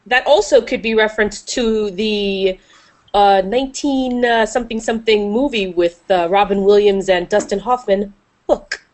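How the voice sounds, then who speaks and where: A woman's voice: medium pitch, normal speed, darkish tone. A woman co-hosting a casual gaming podcast, giggly and teasing. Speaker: podcast co-host.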